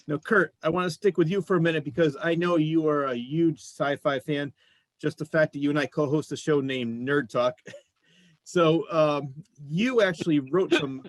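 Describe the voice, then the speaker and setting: A man's voice: on the high side, no echo. A man hosting a casual, conversational interview show.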